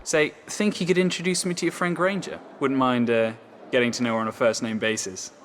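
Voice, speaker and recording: A man's voice: mid-range voice, steady tone, a little fast, fairly bright tone, slight background noise, close to an everyday voice. A male actor playing a cocky, smarmy teenage boy sports rival in a video game, smug and insinuating. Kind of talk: scripted cinematic game acting.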